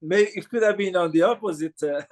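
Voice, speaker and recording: A man from Morocco interviewing filmmakers, speaking haltingly with many fillers and restarts. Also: sits high.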